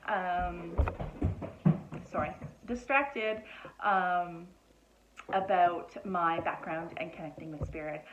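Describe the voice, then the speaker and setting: A woman from Nova Scotia, Canada, in her thirties, casually introducing herself to camera as a psychic medium, relaxed and full of ums and restarts. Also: lowish voice, slow, pauses often, echoey room, highs cut off, noisy background. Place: home.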